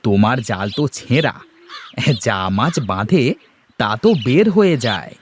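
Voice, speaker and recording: A man's voice: mid-range voice, sing-song, normal speed, slight background noise, close to an everyday voice. A man playing a frank village neighbor in a fairy-tale cartoon, with a blunt, chiding manner. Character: frank village neighbor advising a poor fisherman. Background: music.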